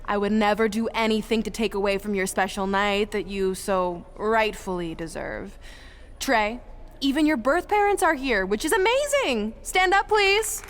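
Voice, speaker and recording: A woman's voice: mid-range voice, close to an everyday voice. An actress playing a spiteful, hot-tempered female wrestling rival in a video game, sneering and theatrical. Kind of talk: video game cutscene acting.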